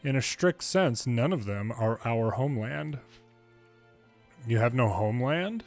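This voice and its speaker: A man's voice: mid-range voice, animated, unhurried, a little mellow. Man reading game dialogue over music. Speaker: fan reader of game dialogue. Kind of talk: reading game story dialogue aloud in English.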